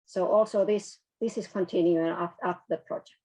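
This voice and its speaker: Lowish-voiced woman hosting an event. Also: steady tone, normal speed, dark tone, breathy edge, low-quality recording. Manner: upbeat and encouraging. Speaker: event host. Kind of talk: event announcements.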